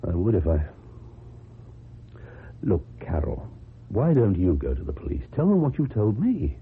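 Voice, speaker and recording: Low-voiced, reserved British ex-convict. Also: male voice, wildly swooping pitch, breathy edge, exaggerated voice. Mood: questioning. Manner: formal, measured, precise speech. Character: reserved, lonely, well-educated male ex-convict, kindly but wary. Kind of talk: British radio drama acting. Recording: muffled, noisy old radio recording.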